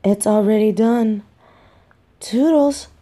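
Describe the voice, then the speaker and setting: Audio roleplay: young female biker played by an actress, loyal and mouthy, soft dark timbre, measured dramatic delivery. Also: medium pitch, lightly acted. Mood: coldly smug. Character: defiant, foul-mouthed young biker girlfriend, fiercely loyal. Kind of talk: scripted audio roleplay acting.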